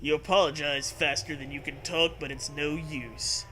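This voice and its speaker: A man's voice: a little high, fairly even intonation, moderate pace, sharp tone, poor audio. A man co-hosting a podcast, reading a gamebook aloud in a playful, theatrical way with joking commentary. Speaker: podcast co-host. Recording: faint background noise.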